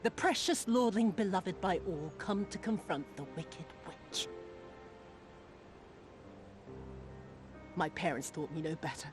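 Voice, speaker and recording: A woman playing a bitter, mocking noblewoman villain in a video game, speaking in a theatrical, deliberate way. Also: on the low side, expressive, over music, natural voice. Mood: scornful bitterness. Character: bitter, scornful noblewoman villain commanding a castle's defence. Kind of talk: dramatic video game cutscene acting.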